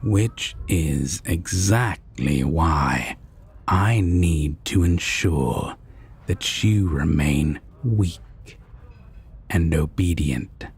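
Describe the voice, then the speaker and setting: A man acts out a possessive, sadistic male vampire for an audio drama. He speaks extremely slowly in a low, heavily breathy voice that often sinks toward a whisper. The manner is deliberate, condescending and full of menace. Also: lively intonation, full character voice. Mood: menacing, possessive. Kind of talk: dark audio roleplay acting.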